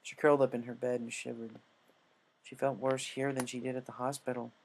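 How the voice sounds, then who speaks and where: A man reading a scripted story as narrator, in a measured way with occasional stumbles. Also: mid-range voice, flat delivery, a little hiss or hum, poor audio. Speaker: story reader.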